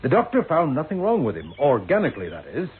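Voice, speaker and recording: An actor playing a respectful, matter-of-fact police sergeant in a radio play. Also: male voice, on the high side, wildly swooping pitch, fast talker. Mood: grave, puzzled. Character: plain-spoken, respectful male police sergeant. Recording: noisy, tinny old radio recording.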